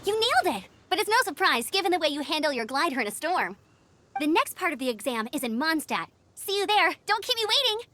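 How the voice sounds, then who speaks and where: Bright, very high, eager young knight girl. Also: big pitch swings, a little fast, slight background noise, exaggerated voice. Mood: excited. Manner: peppy, earnest. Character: eager, upbeat young female knight, gliding instructor. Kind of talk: anime-style video game voice acting. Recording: music behind the voice.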